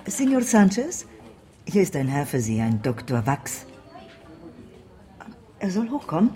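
A mellow, low-voiced hotel receptionist. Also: female voice, sing-song, unhurried. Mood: courteous. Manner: courteous, obliging. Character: friendly, polite female hotel receptionist in Rome. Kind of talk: radio drama dialogue.

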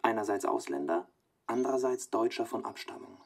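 An actor playing a studious young man dictating research notes in a radio drama, clipped, analytical and deliberate. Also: lowish voice, animated, gravelly, slightly rough, exaggerated voice.

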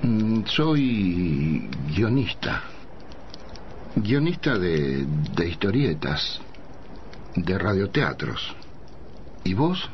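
A deep-voiced, lonely, musing writer. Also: male voice, very animated, drawn-out words, long pauses, a little hiss or hum, exaggerated voice. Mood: hesitant. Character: lonely, wonder-struck male comic-strip scriptwriter at midnight. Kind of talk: radio drama monologue. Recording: dull radio broadcast, loud music behind.

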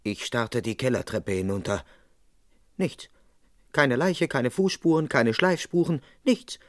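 Man playing a deadpan, officious police constable. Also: medium pitch, natural voice. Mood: deadpan narrating. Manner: deadpan narration, brusque official questioning. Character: officious, suspicious British police constable, male, narrating his case. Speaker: narrator and lead character. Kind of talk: German radio crime drama acting.

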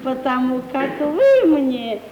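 An actress playing a coy, fawning country-estate housekeeper with plaintive, folksy speech in an old radio comedy. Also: female voice, high-pitched, big pitch swings, slow pace, lo-fi sound, a loud crowd, exaggerated voice. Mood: coy, flirtatious. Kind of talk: 1950s Russian radio stage comedy acting. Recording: roomy, noisy old 1950s radio recording.

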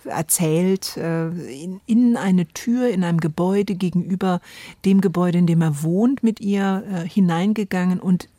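Low, mellow woman in radio conversation. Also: a little slow, dry recording, studio quality. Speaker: co-host. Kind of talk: conversational radio discussion introducing an audio play.

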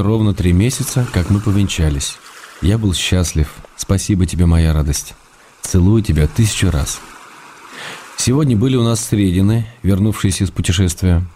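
A man's voice: sits low, normal speed, dark tone, a little hiss or hum, close to an everyday voice. An actor playing an affectionate, slightly weary husband in a radio play, reading out his letters in an intimate, restrained, tender way. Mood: calm, weary. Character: affectionate husband and writer, gentle, weary, fond. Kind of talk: quiet dramatic reading of letters.

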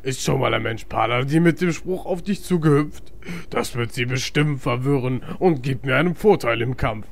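A man acting a cheeky, clueless tabletop player in a comedy audio drama, with a flippant, deadpan manner. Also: mid-range voice, moderate pace, lightly acted. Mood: cocky.

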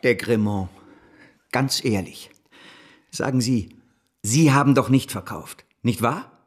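Husky, hot-tempered banker. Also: male voice, mid-range voice, takes it slowly. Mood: urgent. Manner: blustering, scornful, emphatic. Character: ruthless, hot-tempered French banker, paranoid and combative. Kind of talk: German radio drama acting, historical.

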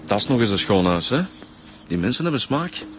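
A laid-back Flemish junior investigator in a radio crime serial. His voice is a little low and casual, over clearly audible music. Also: very animated, a little fast, a bit bright. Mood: easygoing. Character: easygoing, often late male junior homicide detective. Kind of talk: radio crime drama acting.

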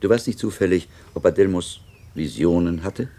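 An actor playing a learned, thoughtful monk and master in a radio drama, deliberate, questioning and reflective. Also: male voice, low-pitched, dark tone. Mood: probing. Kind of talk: German radio drama acting.

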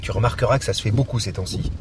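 A man's voice: on the low side, rapid-fire, close to an everyday voice. An actor playing a sarcastic, impatient male police detective in a naturalistic audio drama, with a colloquial, sardonic way of talking. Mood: irritated, arguing. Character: exasperated, sarcastic male police detective, brusque with his partner. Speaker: actor, also first-person narrator. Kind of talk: naturalistic radio drama acting. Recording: clear car and street noise behind.

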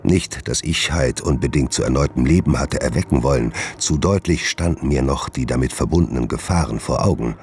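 An actor playing a tormented, secretive gentleman doctor in a German radio drama, brooding and formal in his diction, with a gravelly voice. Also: rumbling low, fairly quick, few pauses. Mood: brooding, confessional. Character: tormented gentleman doctor, secretive, guilt-ridden, haunted by his dark side. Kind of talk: German radio drama acting and first-person narration.

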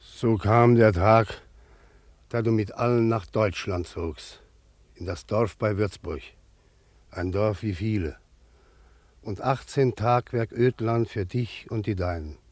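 Slow, grave peasant patriarch. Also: male voice, on the low side, darkish tone, no echo. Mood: solemn. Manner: solemn, measured, biblical cadence. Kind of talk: radio drama acting.

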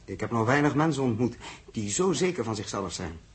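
An actor playing a brisk, wry male crewman aboard a spaceship in a sixties radio drama. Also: mid-range voice, sing-song, a little hiss or hum, lightly acted. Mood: earnest. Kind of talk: 1960s radio drama acting.

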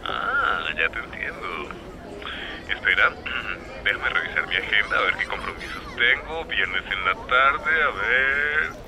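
An actor playing a smooth-talking, lovestruck man with a Colombian accent from Bogotá, flirting intimately in a radio drama. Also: fairly low pitch, measured pace, noisy background. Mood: playful. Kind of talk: radio drama acting, intimate phone conversation.

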